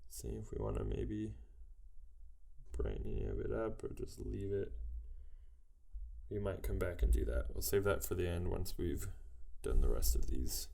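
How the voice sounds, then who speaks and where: A man's voice: fairly low pitch, normal speed, creaky. A man with a head cold, thinking aloud in a casual way as he teaches a digital painting tutorial. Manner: relaxed, musing aloud while working. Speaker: digital art instructor.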